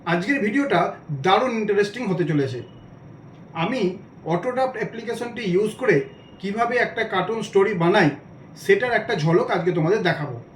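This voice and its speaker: A man hosting a step-by-step software tutorial in voice-over. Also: high voice, a little hiss or hum. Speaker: tutorial host.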